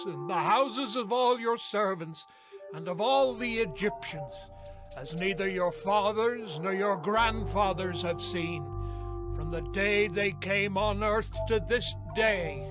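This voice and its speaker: A man playing a stern prophet giving a divine warning in dramatized scripture audio, solemn and declamatory. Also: high-pitched.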